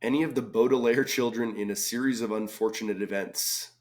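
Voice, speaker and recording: A man with a slightly hoarse voice from a cold, casually thinking aloud as he solves a crossword, reading clues and muttering guesses. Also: on the low side, fairly even intonation, brisk. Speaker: crossword solving video host.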